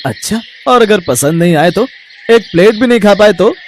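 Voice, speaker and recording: An actor playing an amused, sceptical market customer with an incredulous, mocking manner in a story. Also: male voice, sits high, lively intonation, normal speed, slight background noise. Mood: challenging.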